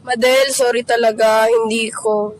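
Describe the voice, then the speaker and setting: A woman's voice: fairly high pitch, sing-song, unhurried, vocal fry, exaggerated voice, rough recording. A woman playing a defensive, sulky schoolgirl in an amateur student radio drama, speaking plaintively. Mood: sad, sorry. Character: defensive, sulky schoolgirl caught hiding a boyfriend.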